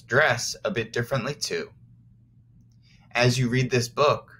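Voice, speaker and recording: A man with a fairly low voice that swings widely in pitch reads aloud to young children as their teacher. He takes his time and explains things simply and encouragingly. There is some light background noise in the recording. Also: sharp tone. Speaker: first grade teacher.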